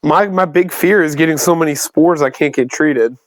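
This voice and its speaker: A man's voice: fairly high pitch, moderate pace, dry recording. A man playing a tabletop game, casually describing his moves and working out his rolls aloud. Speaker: player.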